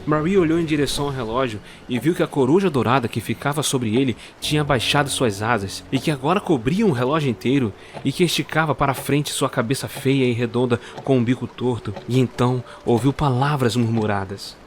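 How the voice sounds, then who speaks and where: A man narrating a dark Christmas tale at a mid pitch, measured and suspenseful. He now and then turns to speak straight to the listener. A faint noise sits behind him. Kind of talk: scripted storytelling narration.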